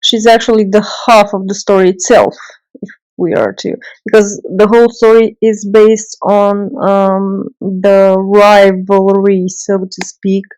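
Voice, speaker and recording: A woman's voice: mid-range voice, darkish tone, smooth, no echo, low-quality recording. A woman guest on a podcast, chatting casually with frequent "um" and "you know". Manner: hesitant, fillers like "um" and "you know".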